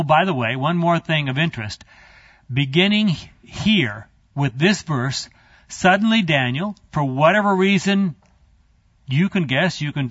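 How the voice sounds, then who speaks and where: A man's voice: a little high, fairly bright tone, dry recording. Man giving a chatty, digressive Bible lecture. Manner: conversational, digressive, with asides to the class. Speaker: Bible teacher. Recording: dry, slightly muffled recording.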